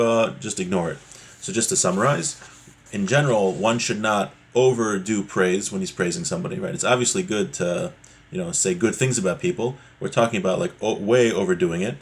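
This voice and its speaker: A man's voice: lowish voice, breathy edge. A man giving an informal religious lesson as a teacher, explaining a text conversationally and checking in with rhetorical questions.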